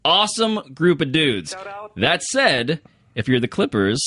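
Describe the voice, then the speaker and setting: A man's voice: on the high side, measured pace. A man in his thirties, a sports journalist guest telling stories in casual studio talk. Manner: animated, storytelling. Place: radio studio.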